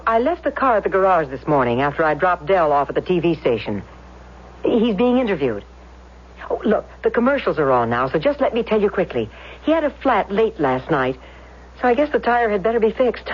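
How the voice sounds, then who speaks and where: A woman playing a brisk, chatty small-town housewife on the phone in a radio drama, with a husky voice. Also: medium pitch, very animated. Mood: brisk, fond. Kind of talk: 1970s radio drama acting. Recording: muffled vintage radio broadcast.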